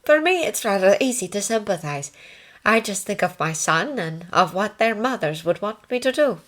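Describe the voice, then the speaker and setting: A brisk senior army nurse, put on by a woman narrator, pitched high. She is tactful and motherly, choosing her words with precision in a wartime audiobook. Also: animated, a bit bright, lightly acted. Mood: tactful, earnest.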